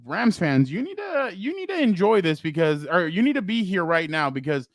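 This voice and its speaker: A fairly high-voiced man hosts a casual sports show, loud and rambling with frequent laughs. His voice is close and dry, with no room sound around it. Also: big pitch swings, moderate pace, no echo. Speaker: co-host.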